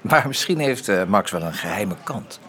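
An actor playing a wry, morally disapproving intellectual in a radio drama, hesitant and dryly teasing. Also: male voice, on the low side, sing-song, moderate pace, a bit bright. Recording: busy café chatter behind. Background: café crowd.

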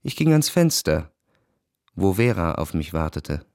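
Low-voiced, cynical aristocratic seducer. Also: male voice, very animated, a little slow, a little mellow, no room sound, clean studio sound, some chatter behind, exaggerated voice. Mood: wry, detached. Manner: smooth, ironic, detached. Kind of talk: radio drama dialogue and diary narration.

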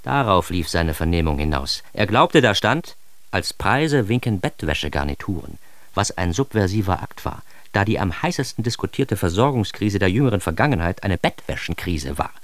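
A man's voice: deep voice, very animated, normal speed, no echo. An actor playing a grown son narrating his embarrassed adolescence in a radio drama, with an ironic, mock-formal, theatrically indignant delivery. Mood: sardonic.

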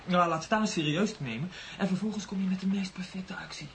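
An actor playing an excitable, admiring young aspiring writer in a Dutch radio drama, earnest and emphatic with his friend. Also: high voice, a little fast, creaky, slight background noise. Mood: delighted.

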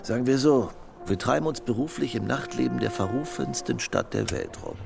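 An actor playing a cynical, seasoned vice cop in a radio drama, terse and wry. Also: male voice, mid-range voice, wildly swooping pitch, moderate pace, vocal fry, over music, heavily acted. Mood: wry, confident.

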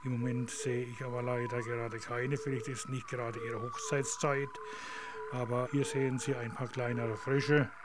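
Flat-toned man guiding a nature tour. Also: mid-range voice, normal speed, very noisy. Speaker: nature guide. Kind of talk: guided nature tour explanation.

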